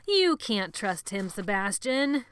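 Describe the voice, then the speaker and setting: A woman's voice: mid-range voice, expressive, a bit bright, no room sound, close to an everyday voice. A woman voicing a gloating boy bully. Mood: taunting. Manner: slow, drawn-out taunting. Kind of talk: audiobook character voice.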